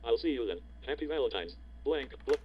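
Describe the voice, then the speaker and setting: A man's voice: mid-range voice, lively intonation, fairly quick, slightly rough. Robotic male screen reader, dull sound. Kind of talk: synthetic read-out of text and characters. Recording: dull computer speaker, typing noise.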